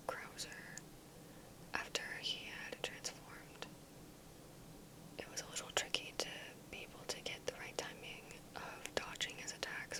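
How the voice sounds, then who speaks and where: Softly whispering woman, ASMR style. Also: rough recording. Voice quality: breathy unvoiced whisper. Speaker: ASMR gaming video creator. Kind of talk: whispered ASMR talk reflecting on a video game.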